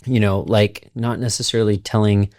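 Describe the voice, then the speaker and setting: A man's voice: fairly low pitch, flat delivery, normal speed, a little mellow, no echo, clean studio sound. A man guest talking in an easygoing way about his design work, with many fillers. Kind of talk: casual podcast conversation.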